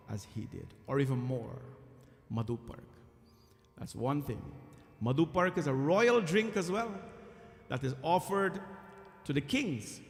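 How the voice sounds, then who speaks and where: A man from Guyana, a temple priest, giving an earnest, emphatic devotional sermon with frequent pauses. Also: a little high. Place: temple hall. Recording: echoey temple hall.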